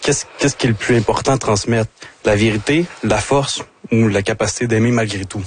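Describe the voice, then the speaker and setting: A man from Quebec with a Quebec French accent, politely and carefully asking a personal question in a halting way. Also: fairly low pitch, a little hiss or hum. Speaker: audience questioner, father.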